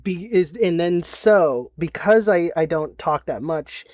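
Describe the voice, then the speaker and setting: Slow, halting woman with a lowish voice. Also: expressive, a little mellow, dry recording, studio quality. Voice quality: halting, full of restarts and giggles. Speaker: player recounting a tabletop campaign. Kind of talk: casual rambling chat.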